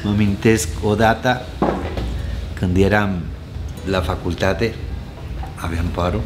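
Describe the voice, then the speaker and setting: A man in his sixties from Costa Rica with a Spanish accent, owner of a restaurant, chatting casually in an indoor restaurant bar and telling stories warmly with frequent chuckles. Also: lowish voice, steady tone, noisy background. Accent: Costa Rican Spanish. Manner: warm storyteller, chuckles often, gestures with hands. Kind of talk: casual interview chat.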